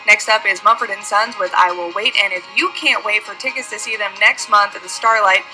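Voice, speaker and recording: A woman's voice: medium pitch, fairly even intonation, brisk, rough recording. A woman working as a radio DJ, delivering a music radio talk set. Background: music playing behind the voice.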